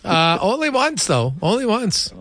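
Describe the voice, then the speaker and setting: A male sports talk host with a slightly high voice, recorded close and dry. He talks casually and conversationally, working through his points in a halting way. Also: big pitch swings, fairly bright tone, dry recording.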